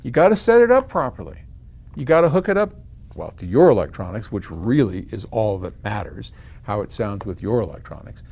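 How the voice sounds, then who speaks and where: A man's voice: fairly low pitch, sing-song, a little fast, vocal fry, a little mellow, slightly rough. An older American man talking casually to the camera, explaining things in a frank, conversational way with folksy emphasis. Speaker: company head answering customer questions in a video series.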